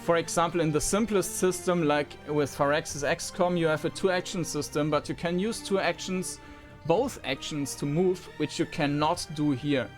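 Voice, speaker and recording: A man's voice: on the high side, normal speed. A man giving unscripted commentary on a game, thinking aloud in a hesitant way. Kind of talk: unscripted preview commentary over gameplay. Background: game music.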